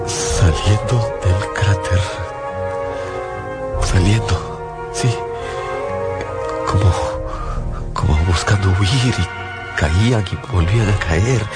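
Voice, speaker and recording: A man acting in a radio novel as a shaken father fleeing an invasion, narrating in a halting, haunted way over music. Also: fairly low pitch. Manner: halting, haunted, hesitant delivery with repeated words. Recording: loud music behind radio drama narration. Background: loud dramatic music.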